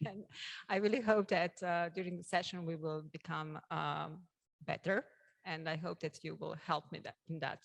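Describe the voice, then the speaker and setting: Female conference moderator, Croatian accent, voice a little on the low side, hesitant delivery to an audience. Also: fairly even intonation, moderate pace, creaky. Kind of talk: conference introduction, addressing an audience.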